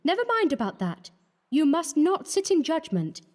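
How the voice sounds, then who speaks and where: A man reading an audiobook, voicing an earnest, pleading young woman of the artists' quarter in a soft, reproachful manner. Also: very animated, normal speed. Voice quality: high and fluttering.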